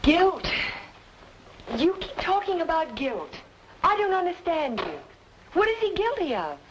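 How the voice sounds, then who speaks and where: A woman's voice: very high-pitched, very animated, measured pace, muffled recording, a little hiss or hum, heavily acted. An actress playing an anxious but stubborn young woman in an old-time radio noir drama, with an intense, emotive delivery. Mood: anxious, bewildered.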